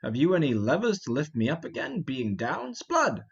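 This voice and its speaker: A man's voice: a little high, big pitch swings, moderate pace, creaky, breathy edge, highs cut off, full character voice. A man voicing a blustering, grumbling, fat older knight and thief in an amateur reading of a Shakespeare play. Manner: exclamatory, complaining.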